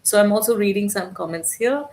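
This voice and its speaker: Woman doing a live spiritual reading, soft and slow, lots of ums, mid-pitched voice. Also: a little hiss or hum. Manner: soft, gentle, unhurried with many hesitant fillers. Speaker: spiritual channeler hosting a live stream. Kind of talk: live-streamed spiritual guidance.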